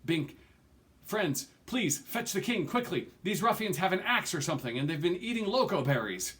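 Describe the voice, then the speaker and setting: A man's voice: high voice, very animated, moderate pace, a bit bright, recorded from a distance, voice pushed up. A man voicing a talking tree that urgently warns its friends, in a storybook reading. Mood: urgent pleading. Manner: urgent, emphatic.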